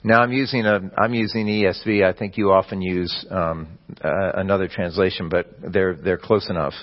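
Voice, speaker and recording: A man preaching a sermon, explaining scripture in a measured teaching manner with the odd restart. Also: deep voice, steady tone, muffled recording. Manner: measured teaching delivery with occasional slips and restarts.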